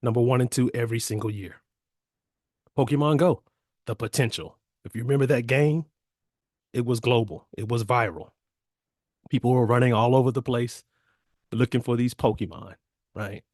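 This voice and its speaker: A man presenting an investment opportunity on a video call, losing his voice and clearing his throat often. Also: mid-range voice, moderate pace, pauses often, darkish tone, no room sound, clean studio sound. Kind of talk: upbeat sales pitch on a video call.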